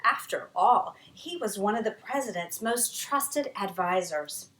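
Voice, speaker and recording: A woman author reading her own children's history book aloud in a dramatic, expressive storytelling manner. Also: mid-range voice, roomy sound, slight background noise, poor audio. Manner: dramatic, varied storytelling delivery with emphatic pauses.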